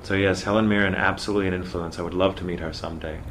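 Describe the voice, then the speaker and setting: Male voice actor, very low voice, mid-Atlantic theater accent, articulate and measured, faint background hiss. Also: fairly even intonation, a bit bright. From Texas. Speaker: voice actor guest. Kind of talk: casual interview.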